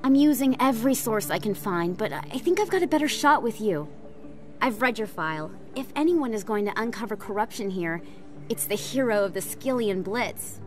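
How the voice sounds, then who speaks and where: An actress playing an earnest, polite and persuasive investigative journalist in a sci-fi video game. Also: female voice, on the high side, lively intonation, normal speed, faint music behind, natural voice. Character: eager, persuasive female investigative journalist on a space station. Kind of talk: video game dialogue acting.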